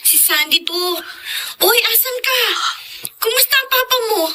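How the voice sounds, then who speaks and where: A concerned young Filipino woman friend in radio drama. The voice is extremely high and bright. She chatters anxiously, in a hurry. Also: lively intonation, normal speed, heavily acted. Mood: anxious, urgent.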